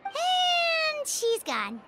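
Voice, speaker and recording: Tiny childlike fairy, squeaky, extremely high, swooping intonation, perky, curious, video game sidekick, loud music. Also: female voice, huge pitch swings, drawn-out words, heavily acted. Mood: concerned. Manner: squeaky, cutesy, inquisitive. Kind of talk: English video game voice acting.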